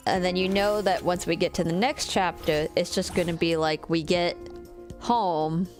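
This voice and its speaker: A woman giving casual livestream game commentary in a rambling, teasing, expressive way. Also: on the low side, moderate pace. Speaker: streamer. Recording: music behind the voice. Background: game music and character voices.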